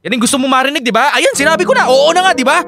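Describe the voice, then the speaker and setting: Fast-talking, exasperated Filipino husband. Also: male voice, very high-pitched, lively intonation, over music. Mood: exploding in anger. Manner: evasive, irritable, halting. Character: exasperated, conflicted husband dodging his wife's questions. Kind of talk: Tagalog radio drama acting.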